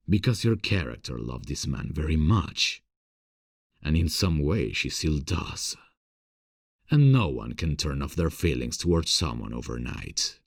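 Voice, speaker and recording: A man playing a gentle, encouraging theater director who is secretly smitten, in a breathy, gravelly scripted ASMR audio roleplay. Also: a bass voice, no echo, studio quality, full character voice. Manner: gentle, encouraging, soft chuckles. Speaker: voice actor.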